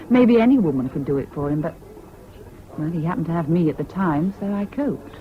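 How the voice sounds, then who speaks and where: A woman's voice: lowish voice, lively intonation, moderate pace, a little hiss or hum, natural voice. Old dull radio play tape, actress doing a bitter british woman, cutting and sardonic, fiercely independent. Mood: weary, wry. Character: bitter, scornful, world-weary wartime woman, fiercely independent. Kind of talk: 1970s British radio drama acting.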